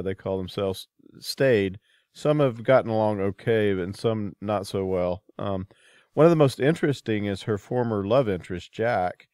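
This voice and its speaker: A man with a fairly low voice hosts a casual interview about books. He speaks hesitantly, pausing to find his phrasing. The recording is dry, with very little room sound. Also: a little mellow, clear, resonant tone, no echo. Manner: hesitant, feeling for words. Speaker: podcast host.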